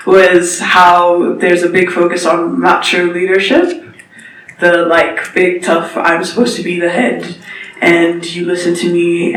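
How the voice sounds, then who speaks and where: A young man from South Africa giving an earnest presentation as an intern at a panel event, slowing down to stress his points. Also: high voice, fairly bright tone, a little hiss or hum. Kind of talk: informal talk at a panel event. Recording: echoey, distant from the mic, faint ambience.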